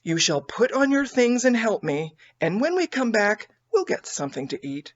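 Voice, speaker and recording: A woman acts out a kindly storybook mother, earnest and pleading, with an animated, expressive pitch. The recording is slightly dull and lo-fi. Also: moderate pace. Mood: kindly, brisk. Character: kindly, earnest mother appealing to her young daughters. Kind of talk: storybook character voice.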